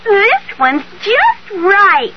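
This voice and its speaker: A perky storybook miss for a 1970s radio ad, very high and swooping, with prim, cutesy diction. The recording is dull with faint noise. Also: female voice, wildly swooping pitch, drawn-out words, faint music behind, heavily acted. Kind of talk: 1970s radio commercial character acting.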